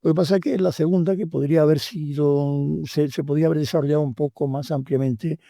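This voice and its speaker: A man's voice: on the high side, fairly even intonation, fast, mellow, dry recording. An older man from Tangier with a Tangier Spanish accent, a book author speaking as a guest in a radio studio interview, reflective and hesitant with many fillers. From Tangier, Morocco.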